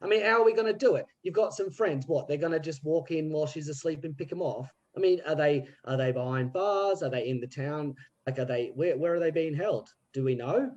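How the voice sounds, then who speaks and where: A man's voice: a little high, brisk, darkish tone, dull audio, close to an everyday voice. A man doing a put-on British accent as a scheming petty crook in an improv scene, rambling with slang and restarts. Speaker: improv player.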